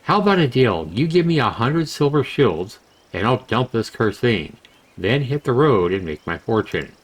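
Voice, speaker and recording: A man's voice: medium pitch, very animated, normal speed. A man voicing a brash, money-hungry young adventurer from a visual novel, with slangy, cajoling banter. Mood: indignant, boastful. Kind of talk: visual novel character reading.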